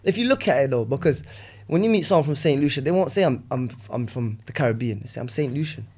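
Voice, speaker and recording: A London man talking fast and easy, his sentences loose and looping back on themselves. He has a middle-range voice and keeps checking in with his listener as he explains himself. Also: mid-range voice, slightly rough. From West London, England. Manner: relaxed, rambling, peppered with "you know what I'm saying". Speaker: rapper guest. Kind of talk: casual podcast chat.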